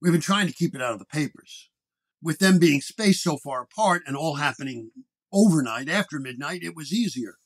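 A man's voice: fairly high pitch, lively intonation, fairly quick. Man as an officious middle-aged police chief. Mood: businesslike. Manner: officious, wordy, stammers under pressure. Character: middle-aged, round-faced bureaucratic transit police chief.

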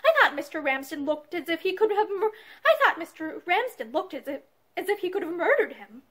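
A woman's voice: very high-pitched, sing-song, fairly quick, a bit bright, voice pushed up, heavily acted. A woman voicing a loyal young female companion who speaks bluntly and vehemently, in a storybook reading. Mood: earnest.

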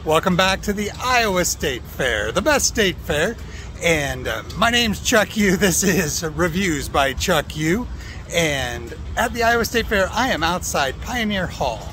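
A middle-aged American man reviewing food casually to camera in a halting, easygoing, understated way, recorded outdoors on a phone. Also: sits high, big pitch swings, sharp tone. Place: outdoors at a fairground. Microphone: phone at arm's length. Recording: outdoor phone recording with ambient fairground noise.

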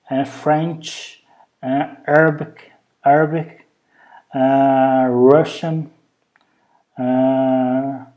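A native Brazilian Portuguese speaker with a Brazilian Portuguese accent, speaking hesitant English with many pauses in a casual show-and-tell vlog recorded at home on a handheld camera. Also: medium pitch, mellow. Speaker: vlogger. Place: indoors at home, over a bed.

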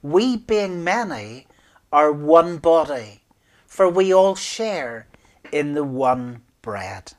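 A man in his fifties, a minister speaking communion liturgy and prayers in a measured, solemn way with deliberate pauses. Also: a little high, big pitch swings. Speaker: minister leading worship. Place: small room indoors, at a desk.

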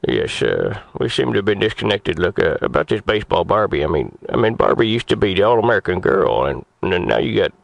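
A man's voice: deep voice, sing-song, a little fast, creaky, few pauses, dry recording, full character voice. A man voicing an indignant older crank customer on a comedy prank call, stammering and then ranting crudely. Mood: outraged. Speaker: prank caller on a radio show.

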